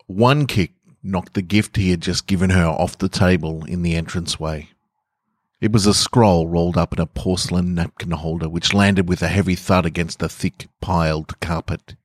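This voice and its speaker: A man's voice: low voice, fairly even intonation, normal speed, no echo, studio quality. A man hosting a podcast, talking casually and reading short stories aloud as narrator. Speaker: podcast host and story reader.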